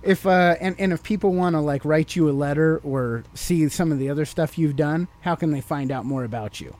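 A man's voice: fairly high pitch, a little fast, darkish tone. A man hosting a podcast, chatting casually and asking playful questions as if to a child. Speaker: podcast host.

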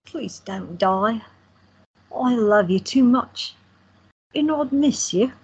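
Woman voicing a young woman, very breathy, teasing, playful, radio play. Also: fairly high pitch, lively intonation, slow, darkish tone, lo-fi sound, heavily acted. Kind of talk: audio drama acting.

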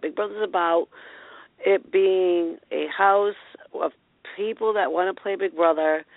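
A woman with a raspy voice calling in to a talk show, rambling excitedly. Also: medium pitch, no echo. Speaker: caller. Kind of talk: casual call-in chat. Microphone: phone. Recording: tinny phone line, dry.

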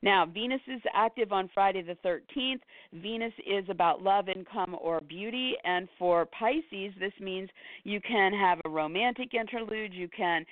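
A woman hosting a weekly horoscope show, hoarse from losing her voice, rattling off long lists with fillers and little laughs. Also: medium pitch, dry recording. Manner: rapid listing, with fillers and short laughs. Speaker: astrologer host. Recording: dry tinny phone-like line.

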